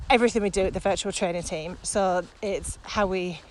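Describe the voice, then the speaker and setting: A woman's voice: medium pitch, fairly quick. A middle-aged woman co-hosting a podcast, chatting casually while walking outdoors, laughing often and swearing bluntly. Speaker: podcast co-host, virtual training company. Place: outdoors, windy. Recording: noisy outdoor wind.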